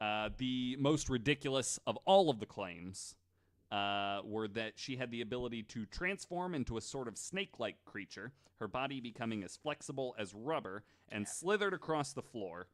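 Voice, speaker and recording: Relaxed male podcast host telling a story. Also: mid-range voice, moderate pace. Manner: relaxed, reads story notes with many fillers and restarts. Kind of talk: casual storytelling podcast chat.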